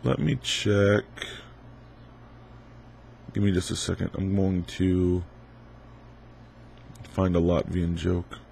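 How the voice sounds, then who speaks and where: Gravelly young man with a cold. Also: deep voice, flat delivery, takes it slowly, long pauses, a little mellow, slight background noise. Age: 20s. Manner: laid-back, rambling, lots of ums and uhs. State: stuffy head cold. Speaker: YouTuber answering viewer questions. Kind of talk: casual Q&A video.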